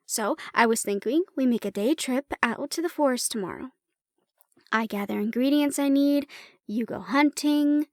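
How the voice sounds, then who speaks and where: High-voiced, affectionate young-sounding fantasy heroine, acted by a woman. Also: a little mellow, no room sound, studio quality, close to an everyday voice. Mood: cheerful, chatty. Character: affectionate, teasing human woman, dragon's partner and healer shopkeeper. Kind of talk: roleplay audio drama addressed to the listener.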